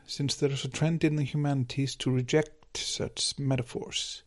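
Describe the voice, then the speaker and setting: A man with an Icelandic accent hosting a folklore podcast, narrating from a script in a measured, lightly wry way. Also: medium pitch, a little mellow.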